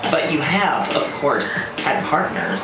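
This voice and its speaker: An older male psychiatrist, as a male storyteller puts him on, clinical and stiffly formal, with probing, scripted-sounding phrasing. The voice carries through a roomy space at some distance from the mic, over clear music. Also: a little high, big pitch swings, measured pace, heavily acted. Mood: probing, challenging. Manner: formal, scripted-sounding, form-letter delivery.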